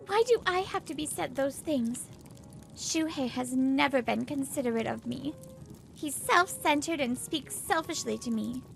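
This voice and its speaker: A woman's voice: high-pitched, animated, measured pace, creaky, faint music behind, full character voice. A woman playing an exasperated young pregnant housewife in a manga dub, complaining expressively and narrating her troubles. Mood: aggrieved.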